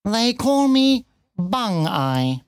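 Gruff old-rogue storybook cat, played by a woman: terse, bitten-off words, raised pitch, drawn-out delivery, friendly underneath. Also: big pitch swings, dry recording, full character voice. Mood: gruff, curt. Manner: clipped, bitten-off short phrases, spat from side of mouth. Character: gruff, battered ginger tomcat, terse but friendly. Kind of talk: children's storybook character voice.

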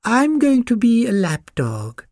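Haughty, high-voiced pug puppy. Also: male voice, fairly even intonation, slow, fairly bright tone, no echo, studio quality, exaggerated voice. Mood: haughty disdain. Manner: lofty, haughty, snorting. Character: snooty lofty pug puppy, spoiled and scornful.

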